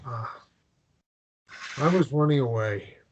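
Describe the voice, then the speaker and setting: A man's voice: medium pitch, slow, darkish tone, highs cut off, slight background noise, natural voice. Awkward, stammering American traveller, played by a man. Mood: wistful. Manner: hesitant, stammering. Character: awkward, apologetic, melancholy American traveller from a small town. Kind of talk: drama table read.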